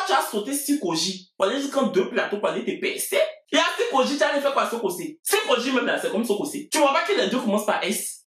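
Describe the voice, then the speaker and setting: A man with an Ivorian French accent tells a comic personal story to his viewers and acts out each person in it. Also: very high-pitched. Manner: lively, acts out every voice in the story. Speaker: video creator. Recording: roomy echo, faint music.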